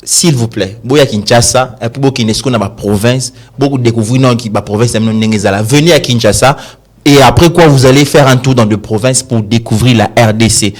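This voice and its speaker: A man's voice: mid-range voice, moderate pace. A man from DR Congo with a Congolese accent, a guest in a casual studio chat, rambling and telling earnest stories.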